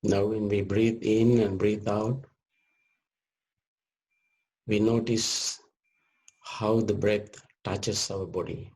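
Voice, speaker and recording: A man leading a guided meditation as a teacher, speaking gently in short measured phrases with long pauses. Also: on the low side, little pitch movement, rough recording.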